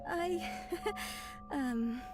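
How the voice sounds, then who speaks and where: A woman's voice: high voice, extremely slow, loud music behind the voice. A woman voicing a young woman in a game cutscene. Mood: shy. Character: young woman, first disgusted, then shy.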